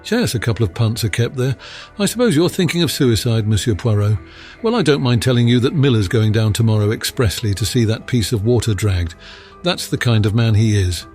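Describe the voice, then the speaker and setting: A man's voice: mid-range voice, lively intonation, a little fast, a little mellow, faint music behind, pitched above the performer's own voice, natural voice. A man voicing a blunt, confident Scotland Yard inspector in an audiobook, speaking in a matter-of-fact, colloquial way. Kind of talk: acted audiobook dialogue.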